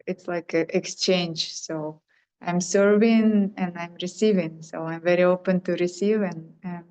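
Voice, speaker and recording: A woman participant speaking slowly and openly, with pauses, on a video call. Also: lowish voice, dark tone, clean tone, low-quality recording. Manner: slow, open, with pauses. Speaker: workshop participant.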